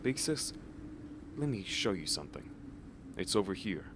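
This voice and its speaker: A man's voice: deep voice, very animated, normal speed. A man voicing an independent-minded young tribesman, an earnest younger brother, in a manga dub. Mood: earnest, resolute. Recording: clear background noise.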